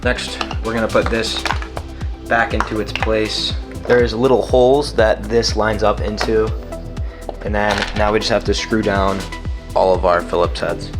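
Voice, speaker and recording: Low-pitched man giving casual how-to instructions. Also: flat delivery, unhurried, loud music behind the voice. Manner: plain, informal instruction. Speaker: DIY car audio tutorial host. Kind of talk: casual step-by-step how-to narration.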